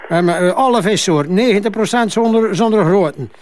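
An actor playing a defensive older Flemish father and company boss in a crime drama, halting, stammering and repeating himself. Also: high voice, darkish tone, a little hiss or hum, close to an everyday voice. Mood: flustered, insistent. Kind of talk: naturalistic TV crime drama dialogue.

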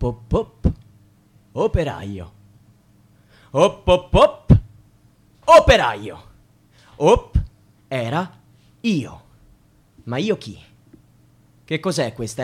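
An actor playing an anxious, bitter, jobless young student in a theatrical, rhythmic Italian radio play, with a husky voice. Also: male voice, medium pitch, fairly bright tone, full character voice. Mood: frenzied, mocking. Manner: theatrical, wordplay-driven, repetitive.

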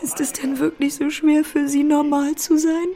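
A woman's voice: sits high, little pitch movement, normal speed, mellow. An actress playing a fretful, exasperated middle-aged wife and mother in a radio drama. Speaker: actor in a radio play.

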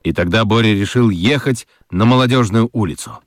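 A man narrating a children's radio story in an expressive, scripted storytelling style. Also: fairly low pitch, fairly quick, dry recording, clean studio sound.

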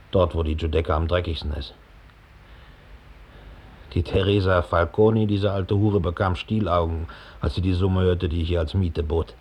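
An actor playing a cold, self-satisfied middle-aged former Gestapo officer in a measured, contemptuous radio play monologue. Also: male voice, deep voice, darkish tone. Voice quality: gravelly, husky. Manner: measured, coldly matter-of-fact, contemptuous.

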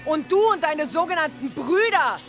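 A woman's voice: high voice, expressive, normal speed, a bit bright. An actress playing a fierce pagan village mother in an audio drama, blunt and challenging. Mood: defiant, accusing.

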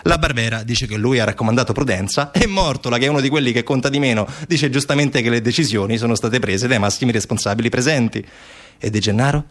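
A man's voice: mid-range voice, fast, fairly bright tone. A man performing a theatrical narrative monologue as a stage actor, with an ironic, questioning manner. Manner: rhetorical questions, ironic, acting out quoted replies.

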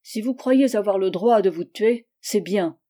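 A woman's voice: mid-range voice, unhurried, mellow, close to an everyday voice. Woman reading as a firm, earnest young man. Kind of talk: audiobook dialogue reading.

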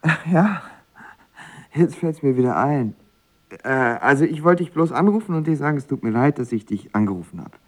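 A man's voice: fairly high pitch, lively intonation, moderate pace. An actor playing a lovesick, self-mocking young man of twenty-one in a radio drama, hesitant and rambling. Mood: drunken, pleading. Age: young adult. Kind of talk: naturalistic radio play acting with first-person narration.

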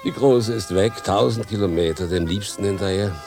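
A man's voice: lowish voice, moderate pace, music in the background, close to an everyday voice. An actor playing a wistful fifty-year-old farmer and father in a radio play, ruminative, earthy and plain-spoken. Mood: wistful, brooding. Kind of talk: German radio drama acting.

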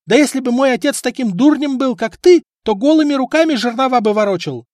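A man voicing a sharp-tongued village wife who yells and scolds her husband in a storybook reading. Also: high voice, big pitch swings, moderate pace, no echo, clean studio sound, pitched above the performer's own voice. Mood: shrieking scolding. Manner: shrieking, scolding. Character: shrill scolding village wife, middle-aged.

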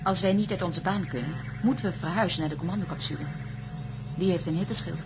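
A woman's voice: lowish voice, fast, close to an everyday voice. Actress as an earnest woman astronaut, faint noise. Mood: matter-of-fact. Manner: explanatory, earnest. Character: level-headed female astronaut, anxious but determined. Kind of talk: science-fiction radio drama acting. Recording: radio drama with faint hum.

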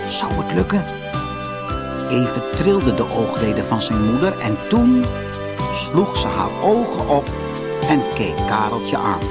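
A man narrating a scripted fairy tale as an expressive audiobook storyteller. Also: medium pitch, measured pace. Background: music.

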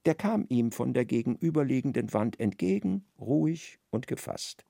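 Casting: male narrator, gravelly, lively melody, dry studio. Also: mid-range voice, no room sound. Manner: measured, expressive storytelling. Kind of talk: literary radio narration.

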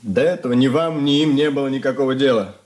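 An actor playing a troubled, ashamed young son in an earnest radio drama confession. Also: male voice, mid-range voice, quick pace, darkish tone, natural voice. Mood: bitter. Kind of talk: Soviet radio play acting.

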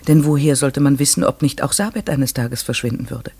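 A man playing an earnest, inquisitive narrator in a radio play, musing and asking himself questions in a deliberate way. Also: mid-range voice, darkish tone, husky, no room sound. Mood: urgent, fretful. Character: earnest, inquisitive narrator puzzling over mysterious ravens.

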